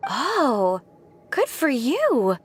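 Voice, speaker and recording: A woman's voice: high voice, huge pitch swings, drawn-out words, heavy background noise, exaggerated voice. A woman playing an aloof, sardonic, bored young woman in a video game, dry and catty. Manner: dry, sighing, drawling.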